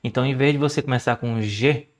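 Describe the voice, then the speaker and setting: A young Brazilian man with a Brazilian Portuguese accent talks through his study session in a loose, chatty way. He often stops and restarts with fillers as he thinks aloud. His voice sits in an ordinary middle pitch. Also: quick pace. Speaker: language learner and channel host. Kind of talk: casual screen-share study vlog.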